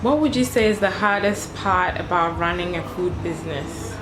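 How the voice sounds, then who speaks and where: A woman vlogger asking curious questions and giving steady backchannels in a casual interview. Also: on the low side, moderate pace, loud music behind the voice, low-quality recording.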